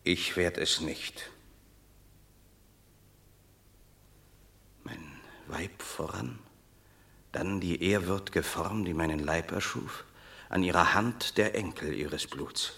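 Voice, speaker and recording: An actor playing a proud, banished Roman warrior and son in a verse radio drama, declaiming solemnly, stern yet torn. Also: male voice, sits low, slow, gravelly, bright, forceful tone, full character voice. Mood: inwardly wavering.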